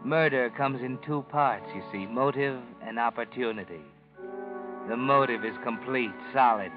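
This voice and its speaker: An actor playing a cold, knowing narrator who addresses the killer in a measured, coolly mocking tone, in 1940s radio drama narration. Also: male voice, medium pitch, natural voice. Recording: tinny old radio broadcast with music bed.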